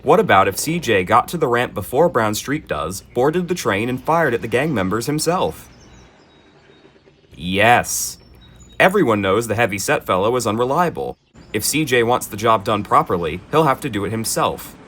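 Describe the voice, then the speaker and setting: Expressive male storybook narrator. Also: medium pitch, moderate pace, breathy edge. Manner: gentle, expressive storytelling with dry asides.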